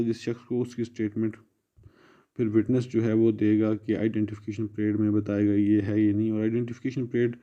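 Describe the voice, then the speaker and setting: A Pakistani man lectures students in a low-pitched, very dark and soft-edged voice. He explains methodically, restating technical terms in simpler words. The audio is somewhat dull and lo-fi. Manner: explanatory, restating English legal phrases in plain terms. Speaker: law lecturer. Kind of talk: exam-prep lecture mixing Urdu and English.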